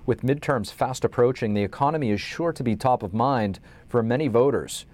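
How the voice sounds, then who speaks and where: A man hosting a news interview show, reading introductions and asking scripted, measured questions. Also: mid-range voice, noisy background.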